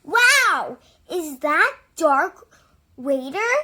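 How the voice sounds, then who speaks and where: A woman voicing an impulsive, excitable young boy shark in a children's storybook, exclamatory and dramatic. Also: high voice, very slow, full character voice. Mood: excited.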